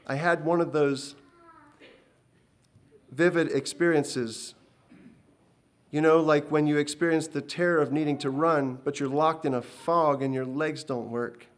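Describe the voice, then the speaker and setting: An earnest old prophet, read by a male storyteller, recounting a terrifying vision in a hushed, heartfelt voice. The pitch sits a little above average for a man. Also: fairly even intonation, measured pace, pauses often, close to an everyday voice.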